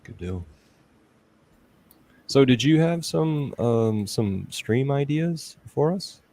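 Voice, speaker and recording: A man's voice: medium pitch, expressive, slow pace, warm tone. A man hosting a livestream in casual chat, informal and encouraging with his guests. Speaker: livestream host.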